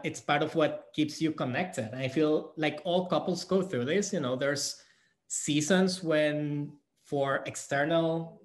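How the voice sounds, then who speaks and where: A man from Guatemala answering audience questions at a conference session, thoughtful and halting, with many fillers and restarts. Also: a little high.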